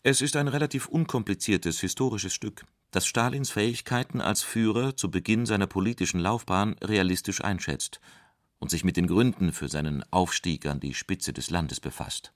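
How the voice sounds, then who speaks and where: A man's voice: low-pitched, normal speed, dry recording, clean studio sound, close to an everyday voice. A man giving a scripted reading of a scholarly quotation, sober and factual. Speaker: reader of a quoted scholar.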